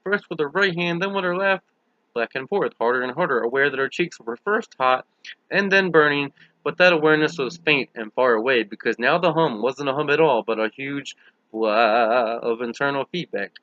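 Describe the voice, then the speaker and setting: A man's voice: medium pitch, moderate pace, pushed and bright, no room sound, muffled recording. A man reading a novel aloud as scripted dramatic narration. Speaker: audiobook reader.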